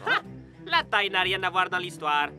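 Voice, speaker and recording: A man's voice: high voice, sing-song, moderate pace, music in the background. A man voicing a short, stern cartoon football master who commands and challenges theatrically. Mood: challenging. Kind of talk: cartoon dub acting.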